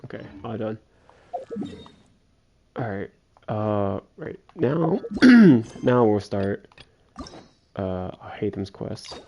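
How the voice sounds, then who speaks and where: A game streamer commentating casually and in a low-key way, often trailing off mid-thought. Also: expressive, extremely slow, creaky, long pauses, dark tone, highs cut off, a little hiss or hum.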